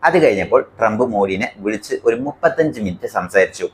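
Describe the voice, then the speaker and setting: Fast-talking Malayali man, news commentary. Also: lowish voice, expressive, slight background noise. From India. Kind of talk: explanatory news commentary to viewers.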